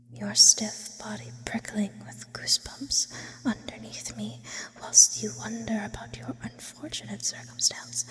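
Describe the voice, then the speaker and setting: A woman voicing an immortal, brooding, lonely sleep paralysis demon in an ASMR roleplay, whispering slowly with eloquent, philosophical phrasing. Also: mid-range voice, close to an everyday voice. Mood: teasing, menacing. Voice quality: breathy whisper. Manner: slow, philosophical, ornate wording with lingering pauses. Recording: close whisper with long reverb.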